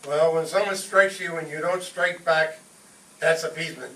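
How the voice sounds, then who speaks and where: A man's voice: fairly high pitch, steady tone, moderate pace, a bit bright. A man playing a hot-headed, scheming father in an old-time radio comedy, pompous, indignant and theatrical. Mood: smug, plotting. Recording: roomy distant stage recording, faint noise.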